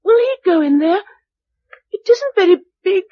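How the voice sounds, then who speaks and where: Nine-year-old English girl for radio play: very high voice, brave, plain-spoken, dry close sound, slightly dull recording. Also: expressive, moderate pace, dry recording. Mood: subdued, matter-of-fact. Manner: plain-spoken, childlike, earnest. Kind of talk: radio drama acting.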